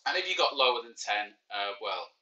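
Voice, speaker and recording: Bright joking Mancunian teacher. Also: male voice, medium pitch, sing-song, a little fast, highs cut off. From Manchester, England. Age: 40s. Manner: joking, self-mocking banter. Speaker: teacher hosting a live quiz. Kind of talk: casual livestreamed lesson.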